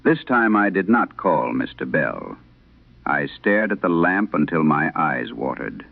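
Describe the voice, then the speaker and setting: A man narrating a scripted vintage radio horror tale in the first person, in a grave, measured, gravelly voice. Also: very deep voice, sing-song. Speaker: narrator of a horror audio drama. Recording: muffled, band-limited vintage radio sound.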